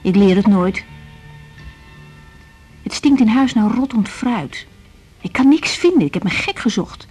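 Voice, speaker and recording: An actress playing an earnest, weary young doctor in a radio drama, speaking in short reflective phrases. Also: female voice, medium pitch, darkish tone, husky. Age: young adult. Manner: reflective, intimate, clipped phrases. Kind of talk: radio drama acting with diary-like monologue.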